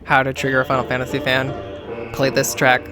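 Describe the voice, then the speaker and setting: A man's voice: medium pitch, completely flat delivery, a little fast. A man giving casual fan reaction commentary as a video host, chatty, exclamatory and emphatic. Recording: music behind the voice.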